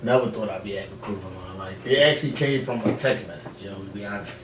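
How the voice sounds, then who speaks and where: A man's voice: on the low side, quick pace. Man chatting on an echoey podcast. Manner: animated, slangy, profane. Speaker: podcast host. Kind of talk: casual podcast chat. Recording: roomy, echoey, distant mic, background noise.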